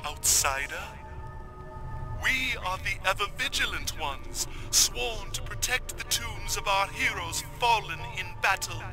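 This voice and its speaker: A man's voice: high voice, lively intonation, a little slow, music in the background. A man playing a solemn, zealous ancient tomb guardian in a fantasy video game, speaking in a grave, archaic, ceremonious manner. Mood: solemn, ominous.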